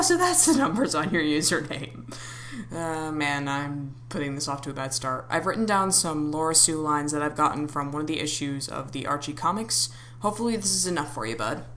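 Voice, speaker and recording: A woman's voice: low-pitched, fairly bright tone. A woman speaking casually as an amateur voice actor introducing an audition, with a self-deprecating, apologetic manner. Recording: clearly noisy home recording.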